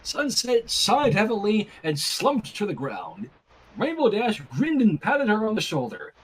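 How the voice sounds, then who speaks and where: Fan fiction narrator, mid-pitched, slightly husky, hugely varied intonation, animated storytelling. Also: measured pace, poor audio.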